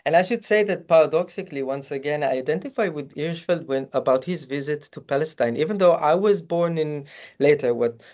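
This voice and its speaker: A man from Israel whose first language is Hebrew, speaking haltingly with many fillers and restarts as a guest in a live panel conversation. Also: a little high, a little mellow. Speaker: guest writer.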